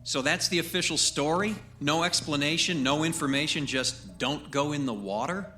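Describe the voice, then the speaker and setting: A man's voice: a little high, moderate pace, music in the background, lightly acted. A man in a radio drama playing a cynical, sarcastic media man with a challenging, sardonic manner.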